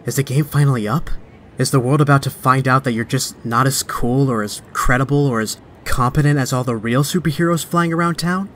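An actor playing a young, wisecracking but stressed superhero in a comic dub, with a sardonic, conversational delivery. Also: male voice, medium pitch, fairly quick, fairly bright tone, slight background noise, natural voice. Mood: anxious brooding. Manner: sardonic, conversational inner monologue. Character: young male superhero, wisecracking yet stressed and self-doubting. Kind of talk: dramatic comic dub acting.

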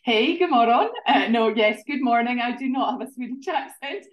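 A woman's voice: fairly high pitch, animated, moderate pace, highs cut off. Scottish woman on a roomy video call. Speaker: guest, tourism association director. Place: home living room. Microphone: laptop webcam video call.

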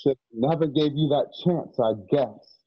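A man's voice: a little high, measured pace, husky. An actor playing a tough, protective male gunfighter in a Western radio play. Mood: tough, threatening. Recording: dull home video call audio.

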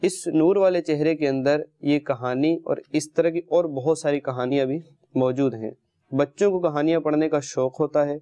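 A man's voice: on the high side, mellow, dry recording, lo-fi sound. A man telling religious stories and moral lessons to children in an instructive way, often addressing his listeners directly. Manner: didactic, addresses listeners with questions and pet phrases. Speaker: religious storyteller and host of a children's programme. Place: indoor studio set, seated on carpet among children.

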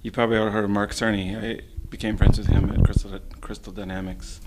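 Low, gravelly man, halting speech. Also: little pitch movement, a little mellow, slight background noise, low-quality recording. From Los Angeles, USA. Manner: thoughtful, halting, many fillers. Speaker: game programmer guest. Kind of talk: casual interview answers. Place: small office meeting room, indoors. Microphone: handheld mic held by interviewer.

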